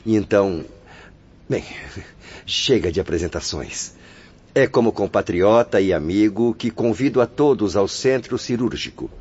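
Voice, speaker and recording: Deep, lively kindly male nurse. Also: measured pace, slightly rough. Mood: friendly, wistful. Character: kindly, courteous young male nurse in spirit hospital. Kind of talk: radio drama acting. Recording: muffled audio with soft music.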